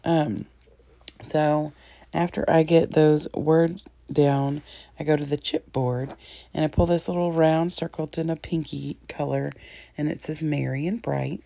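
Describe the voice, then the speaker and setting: Low, gravelly hoarse woman chatting. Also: fairly even intonation, a little slow, mellow, dry recording. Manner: chatty, rambling, frequent ums and throat-clearing. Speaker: scrapbooking crafter. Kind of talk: casual craft tutorial narration.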